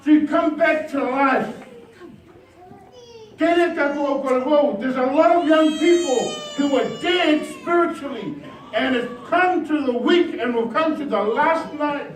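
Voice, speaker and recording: A male pastor with a high voice preaches a revival sermon, drifting between quiet remarks and rousing calls to the congregation. He sounds distant from the microphone, and the hall rings with echo. Steady background noise sits underneath. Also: slow. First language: Samoan. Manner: rousing, swings from soft asides to shouted exhortation. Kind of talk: revival sermon with bilingual asides. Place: church hall.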